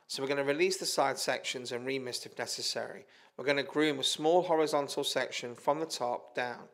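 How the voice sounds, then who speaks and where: A man with a British accent calmly narrating a step-by-step hairdressing tutorial. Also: mid-range voice. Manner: calm, methodical instruction with small restarts. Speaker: hairdressing educator. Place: studio with a plain grey backdrop.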